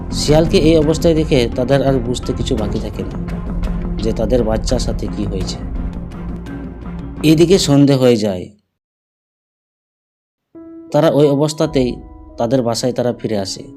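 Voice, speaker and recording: A man's voice: mid-range voice, moderate pace, long pauses. A man narrating a scripted cartoon fable as the storyteller, with music behind him.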